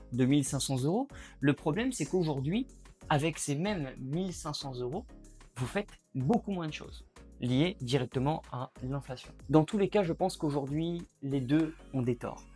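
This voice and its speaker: A man's voice: on the high side, a little mellow. A man in his late twenties talking casually to the viewer as he explains ideas at a whiteboard, reflective and direct. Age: late 20s. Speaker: YouTuber.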